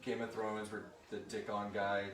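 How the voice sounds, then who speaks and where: A man's voice: on the low side, monotone, normal speed, noisy background. A man hosting a casual live question-and-answer chat in a joking, informal way. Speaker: show creator and host reading out listener questions. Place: room with a group at a live stream. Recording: roomy echo, distant mic, crowd behind.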